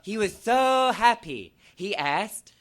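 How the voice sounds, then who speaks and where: A man's voice: sits high, lively intonation, takes it slowly, fairly bright tone, heavily acted. A man performing a comedic reading as a melodramatic, gushing nineteen-year-old fangirl telling her own story with exclaiming emphasis.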